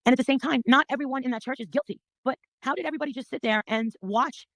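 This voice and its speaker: Woman, middle-aged, very fast talker, sharp forceful voice, blunt, gossipy, sweary. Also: high voice, dry recording. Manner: blunt, confrontational, animated storyteller, swears freely. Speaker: guest telling her story. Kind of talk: casual gossipy chat.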